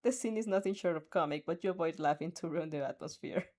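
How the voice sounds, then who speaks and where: A woman's voice: lowish voice, quick pace, darkish tone. Giggly woman reading a story aloud. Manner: giggly, stumbles over words and rereads. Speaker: narrator reading fan fiction aloud with reactions. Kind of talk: animated amateur audiobook reading with asides.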